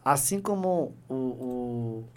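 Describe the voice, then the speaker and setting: A middle-aged man hosting a casual live podcast, speaking haltingly with many fillers and joking asides. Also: mid-range voice, lively intonation. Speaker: podcast host, insurance team leader.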